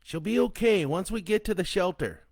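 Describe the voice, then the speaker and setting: A man voicing a panicked husband who stammers as he pleads, his voice pushed up high and strained. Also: animated, normal speed, creaky, darkish tone, no room sound, exaggerated voice. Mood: pleading.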